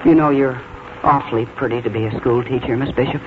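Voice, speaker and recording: An actor playing a sulky, lovestruck eighteen-year-old schoolboy with an earnest, stammering delivery in a 1940s radio drama. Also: male voice, mid-range voice, steady tone, fast, narrow, tinny audio, lightly acted. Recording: noisy, thin 1940s radio broadcast.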